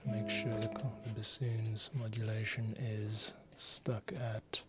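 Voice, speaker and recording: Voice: medium pitch, level tone, takes it slowly, loud music behind the voice. A composer thinking aloud casually, muttering a self-directed running commentary while working.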